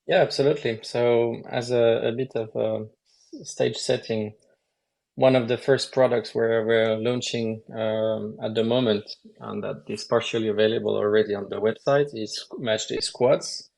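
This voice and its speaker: A man, a lead game designer, explaining game mechanics at a measured pace with frequent hesitations in a live Q&A. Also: medium pitch, fairly even intonation, gravelly, darkish tone, poor audio.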